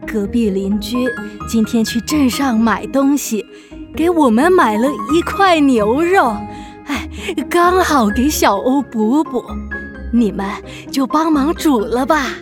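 A woman voicing a gentle, frail old grandmother with an aged, breathy voice in a children's audio drama. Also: high-pitched, animated, takes it slowly, over music. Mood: grateful, pleased. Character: gentle, frail old granny, worried and fond.

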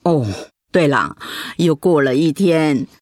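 A woman's voice: low voice, takes it slowly, darkish tone, dry recording, clean studio sound. A woman playing an affectionate, emotional wife and grandmother with a Taiwanese Mandarin accent in a radio drama. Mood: weary, fond. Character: retired grandmother, affectionate, emotional breast cancer survivor.

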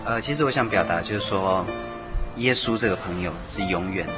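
A man's voice: low voice, fairly quick. A man speaking as a songwriter guest in an interview, explaining casually and earnestly, repeating phrases for emphasis. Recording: music behind the voice. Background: music.

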